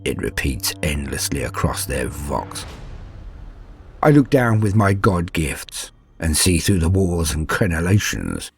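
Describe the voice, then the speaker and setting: A man voicing an ancient, proud and cruel warrior who narrates battle in a grandiose, measured, menacing way. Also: rumbling low, very animated, breathy edge, faint music behind, pitched below the performer's own voice, heavily acted. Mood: cold menace. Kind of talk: dramatic first-person war storytelling.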